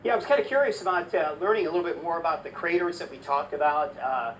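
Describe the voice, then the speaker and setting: A man's voice: a little high, fast, a loud crowd. A man conducting a conversational broadcast interview as a news presenter. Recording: distant mic with faint noise.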